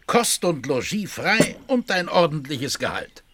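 Elderly squire, nervous, hesitant, slow, swooping pitch, genteel, radio drama. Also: male voice, big pitch swings, a bit bright, no room sound, heavily acted. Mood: flustered, forced cheer. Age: older. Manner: hesitant, stammering politeness.

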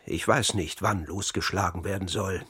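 A grave insider in a radio play. His low voice is husky and rough at the edges, and he lays out secrets in measured, matter-of-fact phrases. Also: fairly bright tone, full character voice. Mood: sober, grave. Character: male insider soberly confessing a secret European coup plot. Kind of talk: radio drama monologue.

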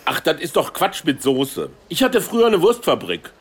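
A man's voice: on the high side, huge pitch swings, moderate pace, husky, full character voice. An actor playing a crude, blunt male populist candidate who grumbles irreverently in a satirical radio play. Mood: dismissive. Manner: crude, plain-spoken, irreverent.

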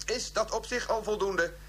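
An actor playing a stiff professor giving a stilted public address in a Dutch radio drama, with odd emphases and a nervous little cough. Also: male voice, high-pitched, fairly bright tone, slight background noise. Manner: stilted, odd emphases, frequent throat clearing. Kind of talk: Dutch science fiction radio drama acting.

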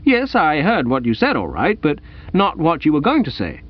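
A man playing an amiable, dim, flustered upper-class young gentleman in a comic audiobook, bluff and eager. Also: a little high, wildly swooping pitch, moderate pace, breathy edge, highs cut off, full character voice. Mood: breezy. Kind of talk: dramatised comic audiobook reading.

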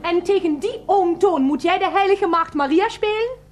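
A woman's voice: extremely high pitch, moderate pace. An actress in a Dutch radio play playing an indignant, sceptical girlfriend with a pointed, challenging manner.

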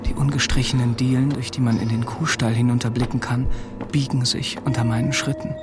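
A man's voice: medium pitch, flat delivery, a little fast, lightly acted. Cautious wartime teen, male actor, mid-range voice, sincere and worried, radio drama over music. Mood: hushed, absorbed. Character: earnest, anxious seventeen-year-old farm lad, the cautious friend. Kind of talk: German radio drama acting and first-person narration.

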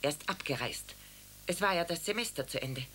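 A woman's voice: deep voice, lively intonation, a little fast, a bit bright, faint music behind. An actress playing a plain-spoken landlady in a radio drama, explaining things hesitantly. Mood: earnest. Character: plain-spoken landlady recalling her lodger.

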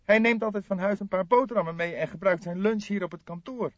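An excitable young male detective from a crime audiobook, acted out by a male reader. He pitches high and speaks fiercely and insistently, quick to take offence. The recording is dry and a bit muffled. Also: dry recording, natural voice. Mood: excited. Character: eager, vehement young male police detective, easily irritated.

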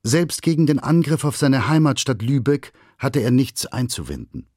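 Guy narrating a history documentary, factual and measured, dry studio sound. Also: mid-range voice, expressive, darkish tone, no room sound.

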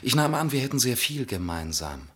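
A man's voice: medium pitch, sing-song, brisk, a bit bright, slightly rough. A man playing an earnest, hesitant, self-important young artist in a German radio play, tentative and slightly defensive.

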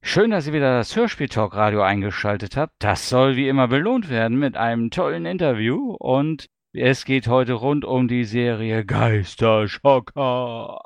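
A man's voice: medium pitch, dry recording. A man hosting a casual audio interview and putting questions to a guest. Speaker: host.

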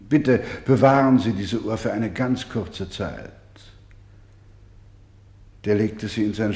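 Slow, solemn male storyteller. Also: lowish voice. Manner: grave, deliberate, emphatic, dropping to hushed tones. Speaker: actor in a radio play. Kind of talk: slow, dramatic radio-play narration.

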